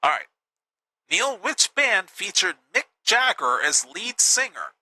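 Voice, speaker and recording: A man hosting a live game show with an upbeat, teasing manner, a little hoarse. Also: high voice, sing-song, slow pace, sharp tone, no room sound, clean studio sound. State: slightly hoarse.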